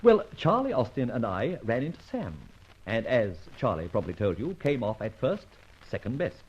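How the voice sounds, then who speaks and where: An actor playing a suave, playful amateur sleuth in an old-time radio detective drama, with flowery, teasing speech. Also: male voice, medium pitch, very animated, moderate pace, lightly acted. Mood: explanatory, pleased. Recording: dull, muffled old radio recording.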